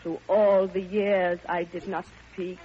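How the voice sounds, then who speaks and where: An actress playing a lonely, lovestruck older Russian widow in an old radio drama, theatrical and yearning, with halting repetitions. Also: female voice, fairly low pitch, little pitch movement, very noisy. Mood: sorrowful resignation. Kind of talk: classic radio drama monologue. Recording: muffled old radio recording, music behind.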